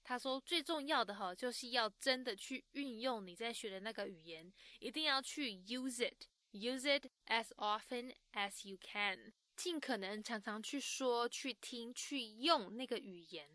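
Peppy taiwanese woman host, bright higher voice, hyped recap. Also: a little slow, few pauses, no echo. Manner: enthusiastic, exclaiming. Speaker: bilingual co-host summarizing. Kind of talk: lively narrated recap.

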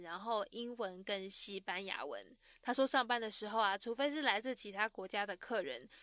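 A woman from Taiwan hosting and recapping the interview in a lively, exclaiming way. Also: fairly high pitch, no room sound, clean studio sound. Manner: enthusiastic, exclaiming. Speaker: bilingual co-host summarizing.

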